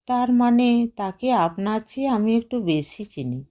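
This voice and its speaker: A woman reader plays an anguished young wife in an audio short story, proud and resolute. Her voice is mellow and slow, pleading with bitter insistence. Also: on the high side, expressive. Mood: quiet irony.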